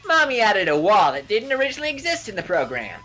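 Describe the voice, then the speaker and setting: A woman's voice: medium pitch, huge pitch swings, fast, fairly bright tone, soft background music. A woman voicing a childlike robot bear cub, earnestly explaining things in a game fan dub.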